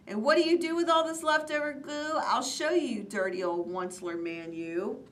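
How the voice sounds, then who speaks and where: A woman's voice: a little high, expressive, unhurried, distant mic, noisy background. A woman voicing a fussy, grumpy male forest guardian in a storybook read-aloud, scolding indignantly between coughs and sniffs.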